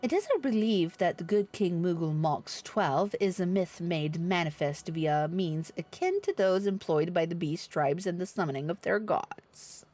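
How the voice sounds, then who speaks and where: A woman's voice: fairly low pitch, animated, a little slow, hardly any pauses, darkish tone. A woman livestreaming a game, reading its dialogue aloud in character with theatrical expression and casual asides.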